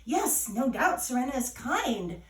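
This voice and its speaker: A woman's voice: sits high, very animated, a little slow, voice pushed up. A woman voicing an exasperated, scolding mother in a storytelling reading, with indignant, emphatic questioning. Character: exasperated scolding mother of a carefree island girl. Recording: roomy echo, distant from mic.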